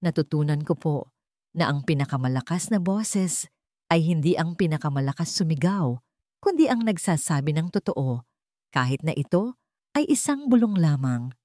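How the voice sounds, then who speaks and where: A woman voicing a respectful, bright young female student in an audiobook, polite and sincere in delivery. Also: sits low, expressive, moderate pace, dry recording, studio quality. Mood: earnest, respectful. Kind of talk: audiobook character dialogue.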